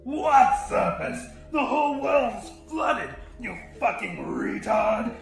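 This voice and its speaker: A man voicing an enraged, cruel giant crab boss in an audiobook, ranting in a screechy, gravelly voice. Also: extremely high pitch, animated, slow, roomy sound, soft background music, pitched above the performer's own voice, exaggerated voice. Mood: scornful ranting. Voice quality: screechy, gravelly imitation of human speech. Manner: ranting, shouting, profane bullying. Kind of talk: audiobook character voice.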